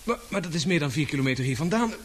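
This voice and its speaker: A man acting in a Dutch radio drama as a sceptical companion who asks brisk, incredulous questions. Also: fairly high pitch, animated, a little hiss or hum, close to an everyday voice. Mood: incredulous, questioning. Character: sceptical, questioning male companion named Dave.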